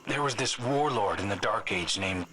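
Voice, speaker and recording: A troubled, earnest scout from a video game. His voice sits low, thoughtful and wry, with a brooding storyteller's manner. Clear noise runs behind him. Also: animated, moderate pace, pushed and bright. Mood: intrigued storytelling. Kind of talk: video game cutscene dialogue.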